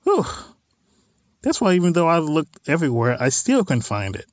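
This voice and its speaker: A man's voice: fairly high pitch, huge pitch swings, normal speed, no echo. A man hosting a let's play of a visual novel, reading text aloud and adding informal, wry asides. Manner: informal, wry side comments on what is read.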